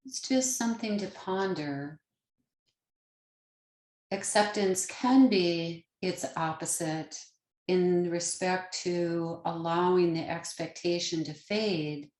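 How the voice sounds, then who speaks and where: A woman leading a guided meditation as a teacher, speaking gently in short, unhurried phrases with pauses between them. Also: on the low side. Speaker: yoga nidra meditation teacher. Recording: roomy, echoing sound.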